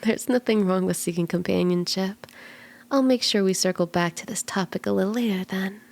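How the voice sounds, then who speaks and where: A woman voicing a flirty, soothing kitsune fortune teller in an ASMR roleplay, speaking gently and coaxingly, at times in breathy whispers. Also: mid-range voice, brisk, close to an everyday voice. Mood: flirty, teasing. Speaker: tarot reader.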